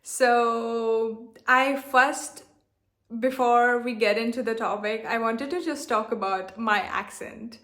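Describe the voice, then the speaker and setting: A young woman from India with a softened, globalized Indian accent, talking candidly and defiantly straight to her viewers, repeating herself and leaning on "like". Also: fairly high pitch, fairly even intonation, slow. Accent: Indian, softened toward a global accent. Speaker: self-love coach and channel host. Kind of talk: direct-to-camera vlog monologue.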